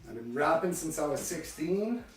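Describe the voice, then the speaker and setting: A laid-back man in his late twenties from Saskatchewan, answering interview questions casually. His voice is a little high with a lively lilt. He sounds distant, as if the mic is across an echoey room. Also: moderate pace. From Saskatchewan, Canada. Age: late 20s. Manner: laid-back, laughs easily. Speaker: guest rapper.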